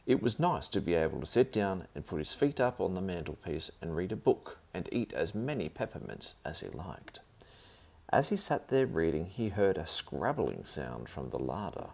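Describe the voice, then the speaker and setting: Low, gravelly, animated male storyteller. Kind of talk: children's story read-aloud.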